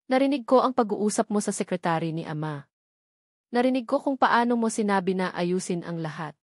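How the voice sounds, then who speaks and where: A woman's voice: mid-range voice, animated, a little fast, darkish tone, no room sound, clean studio sound, lightly acted. A Filipino-accented woman voicing a bitter, determined adult son confronting his father in a storybook drama, firm and accusing.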